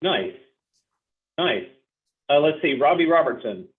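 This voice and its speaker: A man's voice: a little high, sing-song, unhurried. A man hosting a sales training class over a video call, upbeat and chatty as he calls on each participant. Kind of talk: casual group video call facilitation. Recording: tinny phone-like audio.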